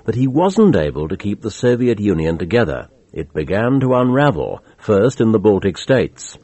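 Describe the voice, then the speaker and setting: A man's voice: lowish voice, big pitch swings, moderate pace, dark tone. A man presenting scripted radio news narration in an authoritative manner.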